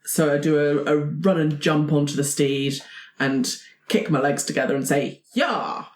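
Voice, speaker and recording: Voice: mid-range voice, normal speed. A player in a tabletop roleplaying game, casually improvising and describing their character's moves. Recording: roomy, echoey sound.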